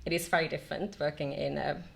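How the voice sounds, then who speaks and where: A middle-aged woman from Finland, a company director being interviewed, speaking in a measured way with many "um"s between phrases. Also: low-pitched, fairly even intonation, creaky. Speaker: marketing and e-commerce director, guest. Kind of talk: casual interview.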